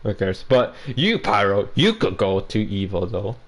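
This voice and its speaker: A man's voice: on the low side, very animated, a little slow. Young man rambling in casual podcast chat. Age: 20s. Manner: rambling, repeats and restarts words. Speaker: podcast co-host.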